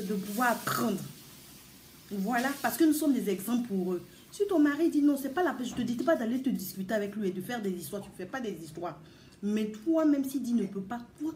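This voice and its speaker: An earnest woman talks straight to her viewers, pressing her points by repeating them. Her voice sits fairly high but sounds mellow, and the room around her is echoey. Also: a little hiss or hum. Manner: earnest, emphatic, repeats words and addresses listeners directly. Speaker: vlogger giving advice to mothers. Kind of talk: casual advice talk to viewers.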